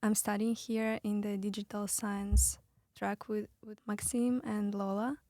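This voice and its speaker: A woman's voice: mid-range voice, little pitch movement, a little slow, dark tone, dry recording, studio quality. A young woman with a Russian accent, talking as a student guest in a casual discussion. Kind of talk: casual round-table discussion.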